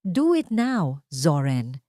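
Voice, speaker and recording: Audio drama villain: woman playing ruthless older matriarch, domineering, scornful, very animated delivery. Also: deep voice, takes it slowly, no echo, clean studio sound, full character voice. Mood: ruthless, commanding. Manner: scolding, commanding. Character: fierce, domineering older matriarch, cruel and scheming.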